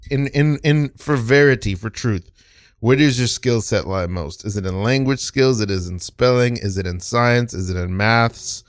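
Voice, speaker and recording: A man's voice: medium pitch, moderate pace, no echo. A man hosting a podcast with casual, improvised comedy banter, playful and given to repeating phrases for emphasis. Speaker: podcast host.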